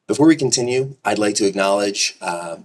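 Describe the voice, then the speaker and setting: A man's voice: fairly low pitch, animated, a little fast, a little mellow, far from the mic. A man hosting an outdoor courthouse ceremony, speaking earnestly with small stumbles. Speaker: master of ceremonies. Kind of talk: public speech at outdoor ceremony.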